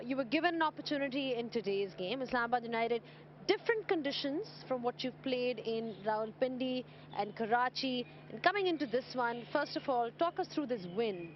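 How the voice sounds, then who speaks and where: A woman presenting a pitch-side cricket interview, asking long, measured questions. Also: a little hiss or hum. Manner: measured, scripted-sounding, drawn-out questions. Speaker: sports presenter. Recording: slightly dull outdoor broadcast audio.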